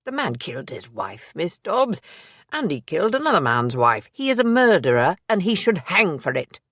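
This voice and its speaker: A woman's voice: fairly low pitch, big pitch swings, moderate pace, no room sound, clean studio sound. A woman voicing a stern police inspector. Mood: firm. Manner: firm, formal, clipped. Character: middle-aged male police inspector, stern and stubborn.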